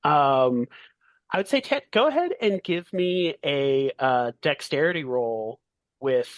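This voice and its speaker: A man running a tabletop roleplaying game, narrating haltingly and thinking aloud as he goes. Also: fairly high pitch, lively intonation, no echo, lo-fi sound. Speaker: game master.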